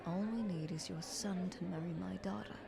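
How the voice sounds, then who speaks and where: A woman playing a scheming, flattering queen in an audio roleplay, speaking in a haughty, ornate courtly manner. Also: fairly low pitch, normal speed, gravelly, crowd noise. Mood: haughty, persuasive. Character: visiting queen, scheming, flattering, condescending royal negotiator.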